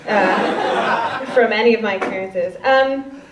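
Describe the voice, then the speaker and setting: A woman's voice: mid-range voice, slow pace, noisy background. A woman in her twenties with a Chicago accent doing a stand-up set, rambling and self-deprecating with many fillers. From Chicago, US Midwest. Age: 20s. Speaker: stand-up comedian. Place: stage in a hall. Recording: roomy, distant, dull-sounding live recording.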